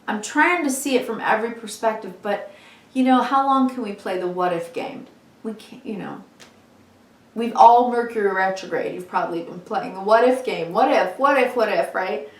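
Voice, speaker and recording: Female tarot vlogger, warm and chatty, slightly hoarse, mid-pitched, recorded in an echoey space a few feet from the mic. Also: moderate pace. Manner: chatty and encouraging, many "you know"s. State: losing her voice, slightly hoarse. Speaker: tarot reader. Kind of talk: weekly tarot reading to camera. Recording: echoey room, mic at a distance.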